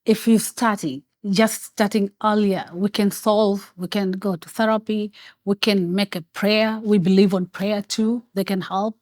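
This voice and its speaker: Slow-spoken mother from Tanzania. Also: medium pitch, steady tone, darkish tone, no echo, clean studio sound. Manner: earnest, pleading with kids to open up. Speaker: parent. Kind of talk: community discussion.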